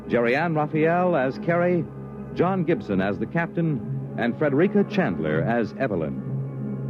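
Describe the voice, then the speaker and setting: A man announcing a 1950s radio show in a formal, dramatic manner. His pitch sweeps widely from phrase to phrase. The old broadcast sounds dull, with clear music behind him.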